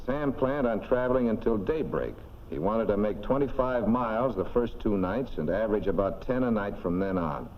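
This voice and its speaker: A man's voice: lowish voice, expressive, normal speed. A man narrating a 1940s military training film in a folksy, clipped, instructive way. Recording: dull, noisy 1940s film soundtrack.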